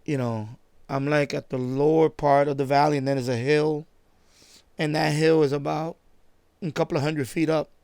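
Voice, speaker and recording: A man chatting off the cuff on a live stream, halting and repeating himself as he circles back to his points. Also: fairly high pitch, fairly even intonation, darkish tone, clear, resonant tone, no echo. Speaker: live-stream host, radio hobbyist. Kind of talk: casual unscripted live-stream chat.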